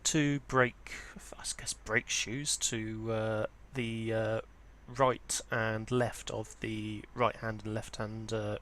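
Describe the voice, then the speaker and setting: A man's voice: on the low side, little pitch movement, slow pace, hardly any pauses, fairly bright tone. A man presenting an informal, unscripted walkthrough as a hobbyist, explaining things in a hesitant, hedging way. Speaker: presenter of a vintage audio hobby channel.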